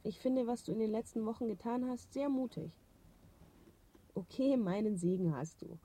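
An actress playing a sensible, sceptical but loyal young adult daughter, measured and firm, in a German radio drama. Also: female voice, a little high, warm tone. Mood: supportive, decided.

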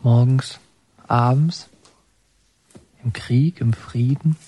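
Husky, slow, brooding young man. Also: medium pitch, steady tone, dark tone. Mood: brooding, remembering. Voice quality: husky, breathy, often half-whispered. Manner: halting, musing, fragmentary phrases. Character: brooding young male inmate remembering his father. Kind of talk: introspective radio drama monologue.

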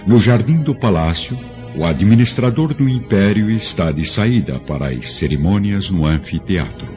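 A man narrating a radio drama in a measured, solemn way. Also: lowish voice, expressive, a little mellow, faint music behind. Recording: dull lo-fi audio with faint score.

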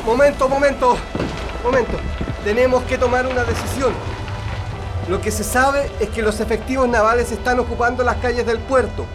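An actor playing an urgent, commanding Chilean union comrade in a radio drama. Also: male voice, extremely high pitch, steady tone, normal speed, over loud music. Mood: urgent, alarmed.

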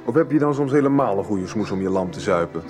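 An actor playing a fed-up grown son in a radio soap, young, sarcastic and reproachful. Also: male voice, on the low side, animated, quick pace, a little mellow, soft background music, natural voice. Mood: scolding. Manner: sarcastic, scolding.

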